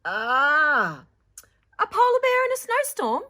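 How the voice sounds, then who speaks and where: A woman's voice: high voice, wildly swooping pitch, takes it slowly, sharp tone, pitched above the performer's own voice, exaggerated voice. A woman voicing an encouraging female art teacher in a picture book, with exaggerated, emphatic delivery. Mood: playfully admiring.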